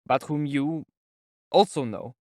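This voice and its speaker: Man voicing an irritable young man, pitched high. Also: expressive, brisk, dry recording, studio quality. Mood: resolute, irritable. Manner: resolute, irritable, near shouting. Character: resentful, irritable young male visitor confronting an investigator. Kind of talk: audiobook character acting.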